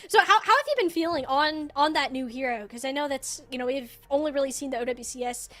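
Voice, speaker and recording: A woman's voice: sits high, fast talker, a bit bright. A woman hosting a live esports broadcast and interviewing in a chatty, casual way. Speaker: esports desk host.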